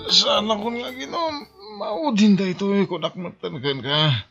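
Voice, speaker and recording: A whiny, defiant drinker played by a man in a radio drama, with a highish voice that swings widely in pitch. The recording is a bit muffled, with music underneath. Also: expressive, unhurried, fairly bright tone, full character voice. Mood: slurred, promising. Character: defiant, whiny hard-drinking husband and son. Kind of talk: Ilocano radio drama acting.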